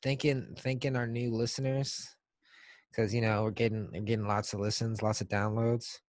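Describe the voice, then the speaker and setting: Low-voiced man, casual podcast co-host. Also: flat delivery, normal speed, dry recording. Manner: profane, slangy. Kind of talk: casual comedic podcast chat.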